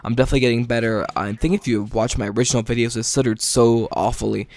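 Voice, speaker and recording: A tired man giving casual gameplay commentary late at night, stuttering often and filling pauses with ums and likes. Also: medium pitch, fairly even intonation, a little mellow, no room sound. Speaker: gaming video commentator.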